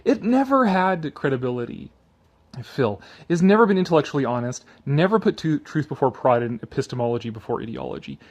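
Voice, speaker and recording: A man's voice: medium pitch, animated, a little fast, highs cut off. A man mixing dramatic reading of an article with informal, mocking, profane and exasperated commentary, hammering points by repetition.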